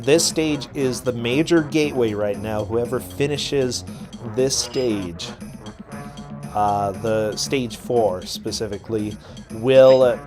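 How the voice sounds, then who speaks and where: A man doing casual, chatty commentary on a video game race. He laughs often, and his voice is getting a little worn. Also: medium pitch. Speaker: teacher and streamer. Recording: music playing behind.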